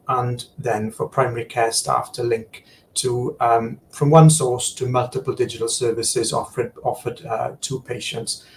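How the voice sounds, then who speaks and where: Gravelly man explaining steadily. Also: medium pitch, slight background noise, low-quality recording. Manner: frequent fillers and repeated words while explaining. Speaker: guest, digital services supplier and former practice manager. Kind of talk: casual explanatory chat with screen demo.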